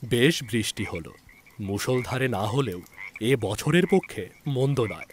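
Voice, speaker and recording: A male storyteller reads literary prose with dramatic, very varied intonation. His pitch sits in the middle of the male range, and a faint noise runs behind the recording. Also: animated, measured pace. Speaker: story narrator. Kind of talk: dramatic scripted literary narration.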